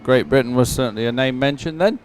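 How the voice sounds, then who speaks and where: A man's voice: mid-range voice, fast talker, crowd noise. A man giving conversational live commentary on a sporting event as a co-commentator.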